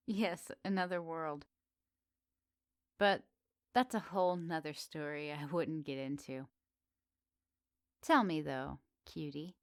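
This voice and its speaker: Woman playing a slow, teasing flirty witch. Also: deep voice, vocal fry, dry recording, clean studio sound, full character voice. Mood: playful, inviting. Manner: teasing, coy, expressive with soft pauses. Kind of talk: ASMR roleplay, addressed intimately to the listener.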